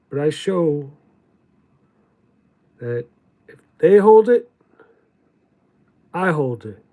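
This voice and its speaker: A man giving a contemplative spiritual monologue in a halting, deliberate way, repeating words for emphasis. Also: a little high, dark tone. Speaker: spiritual teacher reading from own writings.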